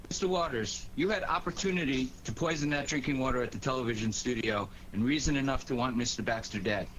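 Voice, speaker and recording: An actor playing a hot-tempered, confrontational but formal secretary in a 1940s radio crime drama. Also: male voice, medium pitch, fast, a bit bright, natural voice. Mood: confronting. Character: hot-tempered, loyal male secretary, menacing accuser. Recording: dull, slightly noisy 1940s radio recording.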